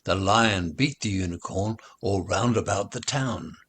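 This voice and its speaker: A man reading nursery rhymes aloud in an expressive, deliberate, sing-song way, as a recital for children. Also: low voice, husky.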